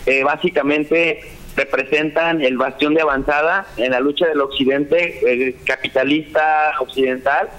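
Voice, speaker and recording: Guy in his 30s from mexico, kinda high voice, lecturing over a video call from his office. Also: fairly even intonation, unhurried, fairly bright tone, poor audio. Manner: lecturing, with frequent "eh" fillers. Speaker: guest professor. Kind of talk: political talk show discussion. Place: home office.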